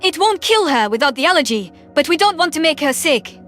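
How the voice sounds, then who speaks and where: Concerned spacefaring human, played by a woman, high lively voice, urgent explainer, faint music. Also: brisk. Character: cautious, concerned human female crewmate. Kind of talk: sci-fi audiobook character voice.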